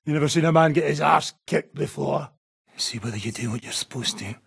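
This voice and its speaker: A man playing a gruff, foul-mouthed, menacing mercenary in a video game, with a gravelly, raspy voice and a coarse, swaggering, sardonic manner. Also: a little high, a little fast, no echo, studio quality, full character voice. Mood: gruff, grudging. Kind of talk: video game character acting.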